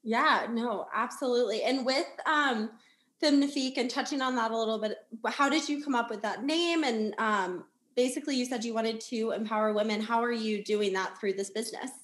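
High-voiced woman host in an echoey room. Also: a little fast, fairly bright tone. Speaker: podcast host. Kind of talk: casual conversational interviewing. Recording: roomy, echoing sound.

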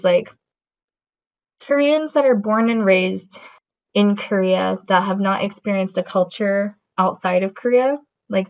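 A woman talks slowly in a relaxed chat, wandering through her points with plenty of ums and likes. Her pitch sits in the middle for a woman. The recording is muffled and lo-fi. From Latin American heritage. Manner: rambling, many fillers and restarts. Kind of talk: casual conversation. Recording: dull lo-fi audio.

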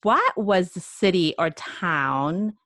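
A woman's voice: on the low side, expressive, slow, a bit bright, dry recording, studio quality. A woman hosting a podcast interview, asking questions and affirming her guest with many yeses and mm-hmms. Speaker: podcast host. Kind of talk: casual interview chat.

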